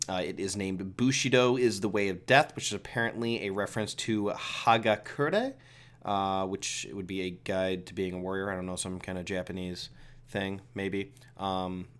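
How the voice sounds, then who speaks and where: A man who is a little sick hosting a relaxed, chatty solo review, giving his opinions with lots of fillers. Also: low voice, normal speed, smooth. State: sick with a cold. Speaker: video host reviewing a comic chapter. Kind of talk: casual solo commentary.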